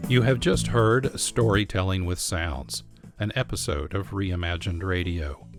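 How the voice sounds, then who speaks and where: An American man hosting a radio program, reading scripted narration in a measured, clearly phrased manner. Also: low-pitched. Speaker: radio host and producer. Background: music.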